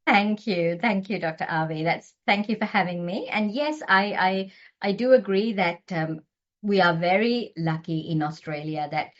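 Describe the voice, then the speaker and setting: A woman's voice: medium pitch, normal speed, highs cut off. A middle-aged woman doctor from Sri Lanka, a guest talking earnestly with frequent restarts. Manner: earnest, talks with many restarts. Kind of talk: podcast interview.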